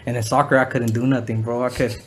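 A man's voice: medium pitch, level tone, fast talker, breathy edge. A young man from Southern California whose first language was Spanish, chatting casually as a guest, full of slang and fillers. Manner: loose street slang, many fillers. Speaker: guest, musician. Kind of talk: casual podcast interview.

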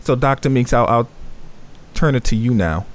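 A man's voice: mid-range voice, lively intonation, moderate pace. A man hosting a panel talk, reflective and affirming as he sums up his guests' points. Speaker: host.

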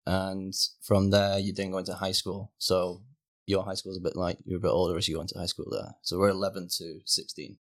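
A man's voice: sits low, flat delivery, fairly quick. A young man from near Manchester with a Northern English accent, chatting as a podcast guest in an easygoing way. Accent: Northern English, Manchester area. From England. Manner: easygoing, explains with fillers. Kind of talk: casual conversation.

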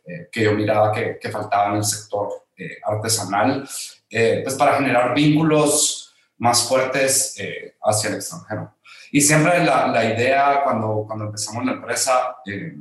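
A man's voice: mid-range voice, moderate pace. Guatemalan man, echoey room. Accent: Guatemalan Spanish. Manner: hesitant, many fillers. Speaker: guest designer. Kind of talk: conversational storytelling. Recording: very roomy echo.

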